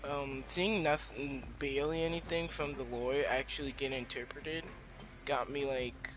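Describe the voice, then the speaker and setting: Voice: medium pitch, steady tone, unhurried, rough recording. A teenager chatting casually while commenting over video game play. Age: teens. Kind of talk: casual chat over gameplay. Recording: faint game music behind.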